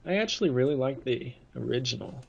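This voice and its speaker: A man's voice: medium pitch, expressive, fast, vocal fry, darkish tone, breathy edge. A man hosting a podcast, chatting casually as a fan over a muffled lo-fi connection. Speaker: podcast host.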